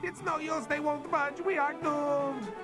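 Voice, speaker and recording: A man voicing a bossy teenage girl who sounds shrill and desperate. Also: steady tone, measured pace, over loud music. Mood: frantic.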